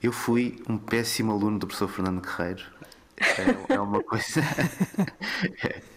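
A man's voice: on the low side, fairly even intonation, normal speed, background noise. A man, a podcast guest speaking reflectively and self-deprecatingly, stammering on restarts. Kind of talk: reflective conversation.

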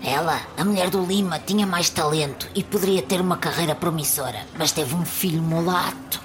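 An actress voices a sour woman of a comic radio soap. Her European Portuguese is dry and indignant, pitched quite low for a woman. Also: brisk, natural voice. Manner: bitter, dry.

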